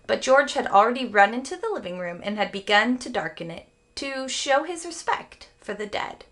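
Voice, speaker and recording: Animated, higher-pitched woman reading a storybook. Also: moderate pace. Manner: expressive, explains phrases to young listeners. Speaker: teacher reading aloud to students. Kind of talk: animated children's storybook read-aloud.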